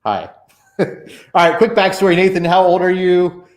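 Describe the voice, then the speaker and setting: A man's voice: high voice, fairly even intonation, moderate pace. A man in his forties hosting a casual church livestream interview, chatty and rambling with many fillers and restarts.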